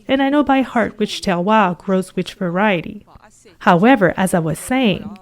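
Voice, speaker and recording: A woman from Ukraine, a harvest worker, speaking interview answers as a voice-over in a steady, matter-of-fact way. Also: medium pitch. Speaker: harvest worker at the pressing center.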